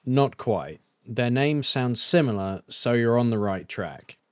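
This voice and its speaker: A man playing a patient, encouraging school teacher in a visual novel, speaking in a measured, instructive way. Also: medium pitch, lively intonation, clean tone, no echo, studio quality, lightly acted. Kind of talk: visual novel character dialogue, classroom address.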